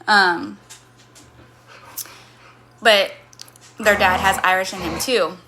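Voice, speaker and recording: A woman's voice: medium pitch, steady tone, takes it slowly, background noise. A woman in her thirties from Missouri with a slight Missouri accent, chatting casually on a livestream and answering chat comments in a rambling way. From Missouri, USA.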